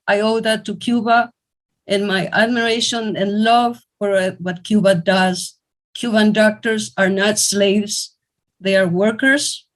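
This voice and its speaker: This is a woman from Ecuador hosting an online fundraiser and sharing a personal story. She speaks earnestly, with a middle pitch and a few restarts, into a video call. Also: a bit bright. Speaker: event host.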